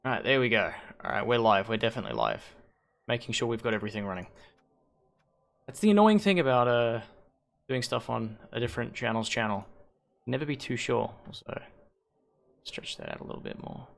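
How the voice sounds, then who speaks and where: Hoarse, gravelly male streamer. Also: medium pitch, lively intonation, brisk. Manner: rambling, self-correcting, thinking aloud. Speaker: league host and shoutcaster. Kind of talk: casual livestream commentary. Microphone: headset.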